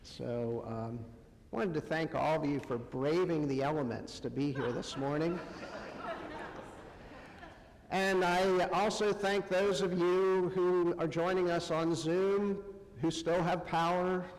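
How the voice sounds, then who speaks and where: Male preacher, unhurried and halting, fairly high voice, conversational sermon delivery in a long-reverb church space. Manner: halting, pausing often between short phrases. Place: church sanctuary, amplified to a congregation.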